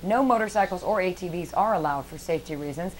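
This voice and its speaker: A woman's voice: lowish voice, fast, slight background noise. A woman reading scripted local news stories as a TV anchor. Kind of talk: scripted newscast narration.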